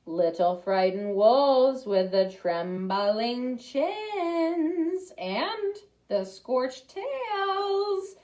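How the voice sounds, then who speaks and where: A woman voicing a menacing, blustery big bad pig in a storybook, taunting in drawn-out singsong threats. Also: high voice, very animated, a little mellow, reverberant, full character voice. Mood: taunting, threatening.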